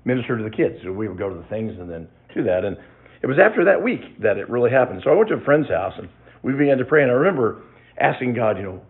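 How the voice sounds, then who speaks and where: A man in his sixties giving a personal testimony to his church, reflective and halting, with many restarts. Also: medium pitch, big pitch swings. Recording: tinny phone-like sound.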